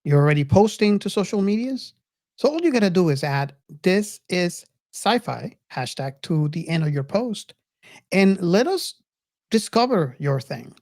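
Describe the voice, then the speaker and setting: A woman's voice: fairly low pitch, big pitch swings, unhurried, darkish tone, dry recording, clean studio sound. A woman hosting a podcast in a casual, enthusiastic and earnest way, often repeating and restarting her words. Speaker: podcast host.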